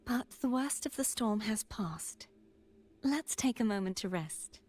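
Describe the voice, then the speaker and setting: A woman voicing a calm, mysterious young guide in a video game, soft and breathy, with a measured, solemn delivery. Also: a little high. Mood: gentle, inviting. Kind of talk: voiced game dialogue.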